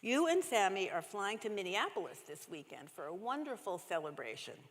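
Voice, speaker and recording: A woman voicing a gentle, tender father from a storybook, in a reverberant church hall. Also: medium pitch, big pitch swings, normal speed, close to an everyday voice. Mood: reassuring.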